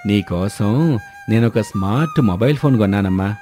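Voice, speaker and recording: A man's voice: fairly low pitch, lively intonation, moderate pace, dark tone, faint music behind, close to an everyday voice. A man voicing a kindly, plain-spoken old village father-in-law in a cartoon moral story. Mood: affectionate.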